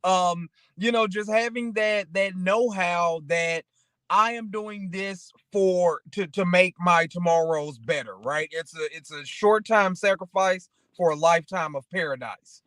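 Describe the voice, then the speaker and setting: A man with a notably high-pitched voice talks in a casual, motivational way. He rambles through anecdotes and keeps checking that the listener follows. The sound is dry and close, with very little room. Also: unhurried, a bit bright, clear, resonant tone, no echo. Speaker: talk show co-host.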